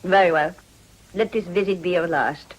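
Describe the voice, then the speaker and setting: Woman doing imperious madam in a radio play, lowish husky voice, brusque and knowing. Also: normal speed, lightly acted, poor audio. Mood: brisk, cynical. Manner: brusque, knowing, grandly condescending. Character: worldly, shrewd foreign madam, cynical and imperious. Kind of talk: period radio drama acting.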